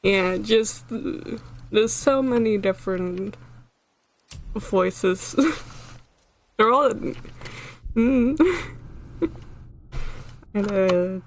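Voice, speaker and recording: A woman's voice: mid-range voice, drawn-out words, long pauses, a little hiss or hum. A woman streamer whose first language is Dutch, chatting casually and rambling with frequent laughter. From Netherlands. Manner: giggly, rambling, laughs often. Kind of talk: casual chat with live chat.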